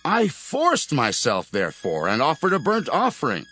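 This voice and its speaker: A man's voice: mid-range voice, huge pitch swings, moderate pace, sharp tone, a little hiss or hum. An actor playing a defensive king in a scripture drama, theatrically pleading to justify himself. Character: defensive king making excuses to a prophet.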